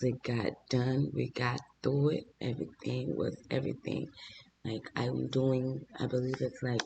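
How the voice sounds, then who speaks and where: Chatty, hoarse man hosting a craft vlog, gravelly edge, talking straight to viewers over a faint hiss. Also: mid-range voice, a little mellow, poor audio. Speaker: small business owner and channel host.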